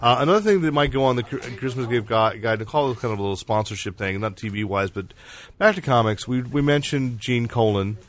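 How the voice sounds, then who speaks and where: A man hosting a casual comics podcast, chatting in a halting, digressive way with some teasing banter. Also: medium pitch. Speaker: podcast host and editor-in-chief.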